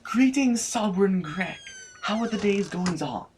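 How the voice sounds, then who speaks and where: A man's voice: high voice, animated, measured pace, darkish tone, slight background noise. A man playing a prim, deferential, status-conscious official in an audio drama, speaking formally and fussily. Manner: formal, fussy, hesitant phrasing.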